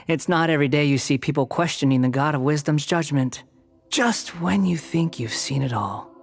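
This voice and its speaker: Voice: mid-range voice, lively intonation, moderate pace, vocal fry, husky, faint music behind. An actor voicing an arrogant, sardonic young male villain in a video game, haughty and taunting. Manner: sneering, haughty.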